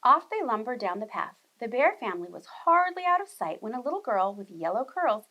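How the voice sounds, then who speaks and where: Casting: female preschool storyteller, high voice, highly animated, speaking directly to young listeners. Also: a little fast, dull audio. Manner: expressive, addresses young children with questions and explanations. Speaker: children's storyteller, preschool teacher. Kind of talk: animated storybook read-aloud.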